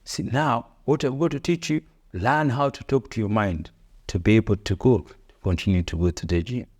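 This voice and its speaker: Earnest Rwandan father, preachy and low-pitched. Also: normal speed, darkish tone. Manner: earnest, preachy, repeats phrases, broken English. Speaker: podcast co-host, father. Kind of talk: casual motivational chat.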